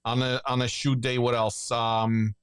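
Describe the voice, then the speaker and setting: A man's voice: mid-range voice, level tone, measured pace, clean tone. A man from Poland hosting a podcast, asking rambling questions and answering with lots of yeah. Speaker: podcast host. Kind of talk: casual interview.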